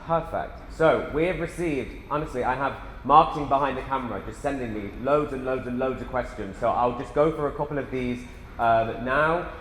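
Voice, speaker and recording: An upbeat man in his twenties hosts a live company webinar and reads out viewer questions at a middle pitch. His voice rings around a roomy hall with a long reverb tail. A faint hum sits behind him. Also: moderate pace. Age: 20s. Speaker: webinar host. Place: company headquarters.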